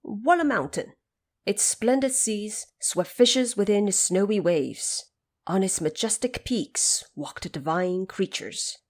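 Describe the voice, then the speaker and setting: A woman narrating a mythic tale in a scripted audio drama style, with measured, explanatory phrasing. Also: medium pitch, expressive.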